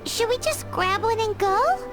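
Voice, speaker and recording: A woman voicing a tiny childlike fairy companion, excitable and exclamatory, in a video game. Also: a little slow. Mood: curious. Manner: squeaky, protesting, exclamatory. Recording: music playing behind.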